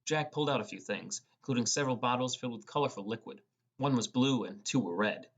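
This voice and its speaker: A man's voice: medium pitch, fast. A man reading first-person fantasy narration as an audiobook narrator. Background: music.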